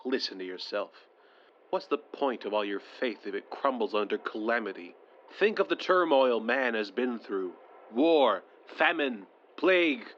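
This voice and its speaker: Man acting a shaken, animated survivor, muffled recording. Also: mid-range voice, lightly acted. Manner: urgent, blunt, exhorting. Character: shaken but stubbornly hopeful male survivor. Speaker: actor in an audio drama. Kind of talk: modern audio drama acting. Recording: muffled, noisy handheld field recorder.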